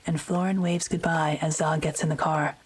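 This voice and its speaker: Very low, flat-toned female narrator. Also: normal speed, slight background noise. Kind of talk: scripted audio drama narration.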